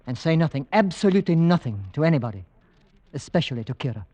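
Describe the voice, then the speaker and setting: A man acting a brisk, self-assured gentleman hunter in an old radio drama, on a vintage recording. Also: on the high side, very animated, lo-fi sound. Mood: urgent, decisive. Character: brisk, decisive gentleman hunter, coolly commanding husband.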